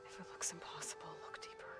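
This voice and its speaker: A woman's voice: fast talker, whispered, close to an everyday voice. An actress playing an earnest, determined young woman hero in a video game, speaking in an intense, direct way. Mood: hushed, resolute. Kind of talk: dramatic video game dialogue. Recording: background music under the voice.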